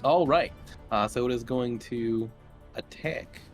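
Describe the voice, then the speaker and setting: A man's voice: mid-range voice, slow. A man running a tabletop horror game as game master, narrating casually and feeling his way through explanations. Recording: faint music under the voice. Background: music.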